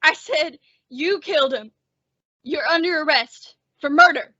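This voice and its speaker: A woman's voice: high voice, unhurried, bright, forceful tone, no room sound, lo-fi sound. A woman playing a timid, bookish young man made sheriff, with a hesitant, stammering, anxious manner, in an old-time western radio drama. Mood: nervous defiance. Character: timid, bookish young male sheriff, nervous insect collector.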